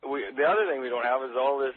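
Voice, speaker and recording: A man's voice: medium pitch, fast, a crowd in the background. Nervous Canadian man on a thin phone line. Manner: hesitant, folksy, jokey. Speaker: caller to a radio show. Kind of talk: casual, rambling call-in chat. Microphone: telephone. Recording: thin narrow telephone line.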